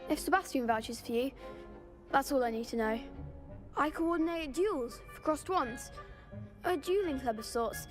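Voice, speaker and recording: Confident young student, high-pitched, businesslike showman manner, fantasy game dialogue. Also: animated, measured pace, pauses often, soft background music. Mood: brisk, inviting. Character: eager young student duel organiser, brisk and confident. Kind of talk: video game dialogue acting.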